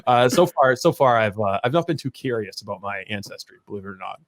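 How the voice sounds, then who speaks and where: Fast-talking, low-pitched man from rural Maine. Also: expressive, no room sound. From rural Maine, USA. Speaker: podcast guest, a media producer. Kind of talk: casual interview chat, joking.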